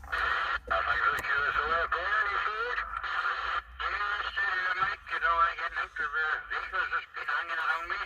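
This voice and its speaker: Higher-pitched man over noisy CB radio. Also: steady tone, a little slow, pauses often. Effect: heard over CB radio. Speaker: CB radio operator. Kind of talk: casual two-way radio chat. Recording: muffled CB radio, loud static and noise.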